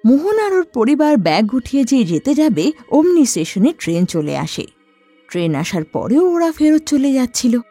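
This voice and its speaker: A woman narrating a scripted cartoon horror story in an expressive storytelling manner. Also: high-pitched, normal speed, dry recording, soft background music.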